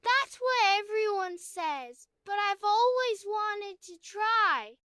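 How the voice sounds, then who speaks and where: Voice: very high voice, expressive, slow pace, pushed and bright, no echo, studio quality, exaggerated voice. A performer voicing a friendly, hopeful young male snowman with a wobbly voice in a children's bedtime story. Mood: wistful. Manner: cheerful, eager.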